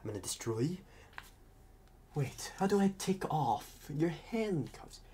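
A man playing a talkative, restless psychopath convict in an ASMR roleplay, protective and scheming, with halting, muttered asides. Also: on the high side, big pitch swings, dark tone, a little hiss or hum. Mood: scheming, distracted.

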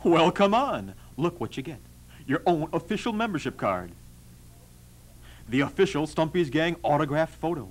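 A fairly high, swinging sales voice, put on by a man for a parody children's commercial. The pitchman is enthusiastic and peppy throughout. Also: very animated, moderate pace. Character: upbeat salesman pitching a kids' club membership.

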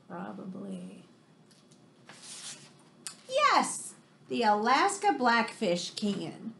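An American woman in her thirties reading a picture book aloud like a teacher to children, expressive and exclamatory, with chatty questioning asides. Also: on the high side, slight background noise. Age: 30s. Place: room in a home, indoors.

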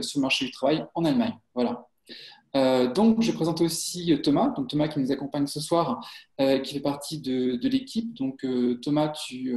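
A man in his forties from France, hosting a live job-search webinar on a webcam, halting with many fillers and restarts. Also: medium pitch, darkish tone. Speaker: webinar host, job site co-founder. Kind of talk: hesitant live webinar talk. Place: office with a printed wall behind. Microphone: webcam on a video call. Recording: echoey room on a webcam.

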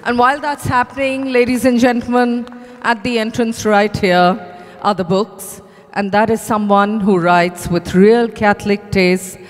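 A man gives closing announcements to guests at a live event. His voice sits high for a man. It carries the long reverb of a large hotel ballroom. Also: little pitch movement, measured pace, soft background music. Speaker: event host.

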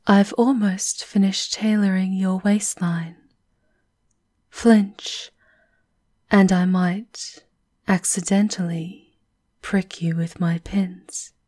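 A woman voicing a prim, coolly menacing servant tailor in a measured, formal half-whisper for an ASMR roleplay. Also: mid-range voice, fairly even intonation, warm tone. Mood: coolly polite.

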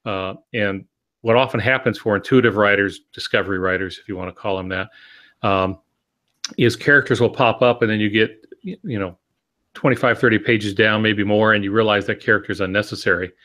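A man in his sixties explaining things in a measured, instructive way during a discussion on a video call. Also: fairly low pitch, fairly even intonation, bright, forceful tone. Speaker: podcast co-host, writing mentor. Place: home office, on a video call.

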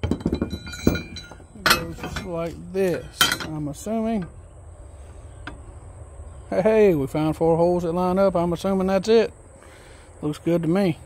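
A man casually walking through an assembly job outdoors, reading steps aloud and thinking out loud in a relaxed, folksy way, recorded on a phone camera held close. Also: high voice, slow, long pauses, slight background noise. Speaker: DIY video host. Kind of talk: casual step-by-step assembly walkthrough. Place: outdoors on a wooden deck.

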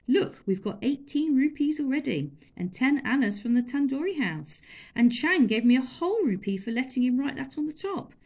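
A woman voicing a cheeky, scheming boy servant who talks in a chirpy, quick, innocent-sounding way. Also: on the high side, sing-song, a little mellow, pitched above the performer's own voice, full character voice. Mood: eager.

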